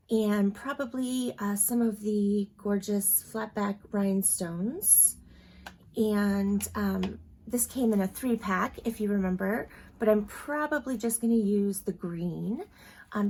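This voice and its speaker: A woman's voice: medium pitch, a little mellow. A woman hosting a crafting tutorial at home, chatting casually with lots of ums and asides, a little sniffly from allergies. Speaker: crafting channel host.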